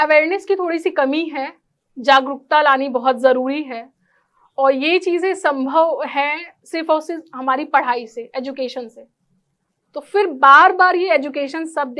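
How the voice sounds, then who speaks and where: A woman speaks as an interview guest in a clearly high-pitched voice. She answers formally and earnestly, slipping English words into her sentences. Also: a bit bright. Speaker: interview guest, architect and NGO volunteer.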